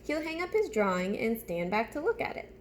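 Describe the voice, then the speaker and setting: A woman's voice: fairly high pitch, very animated, brisk, slight background noise. A woman teacher reading a storybook aloud to young children, chatting to them directly with simple questions and step-by-step prompts.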